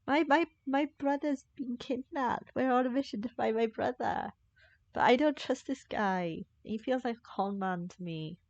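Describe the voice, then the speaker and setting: A woman's voice: fairly high pitch, moderate pace, darkish tone, dry recording, highs cut off. A woman giving casual, jokey live commentary as she plays a game, reacting aloud to it. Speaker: game streamer.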